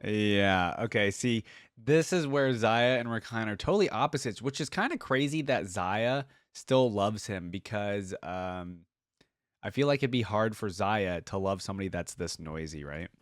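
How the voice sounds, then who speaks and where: A man's voice: fairly low pitch, expressive, moderate pace, dry recording, studio quality. A man hosting a reaction video, giving casual commentary.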